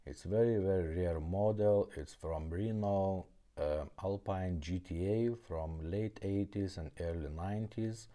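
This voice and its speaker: Deep-voiced man giving a casual demo. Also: unhurried, dark tone. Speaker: repair shop presenter demonstrating a product. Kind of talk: casual product demonstration, explaining step by step.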